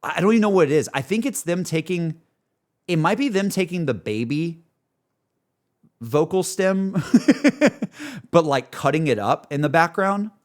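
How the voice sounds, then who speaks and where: A man's voice: fairly high pitch, big pitch swings, a little slow. A man chatting casually as a streamer, effusive and slangy, repeating his phrases for emphasis. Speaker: streamer and video host. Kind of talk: casual live reaction chat to game music. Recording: music playing behind the voice. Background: video game battle music.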